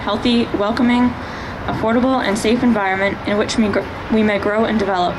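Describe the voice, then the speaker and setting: A woman's voice: mid-range voice, level tone, fairly quick. Young woman reading formally, noisy recording. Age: young adult. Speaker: youth group member reading an article. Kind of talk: formal read-aloud declaration.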